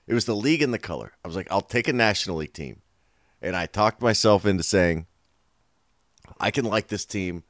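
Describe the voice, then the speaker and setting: A man in his thirties with a deep, hoarse and raspy voice, recorded close and dry. He talks in a low-key, knowledgeable way, explaining things calmly. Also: fairly quick, no room sound. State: hoarse today. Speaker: co-host. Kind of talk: casual chat.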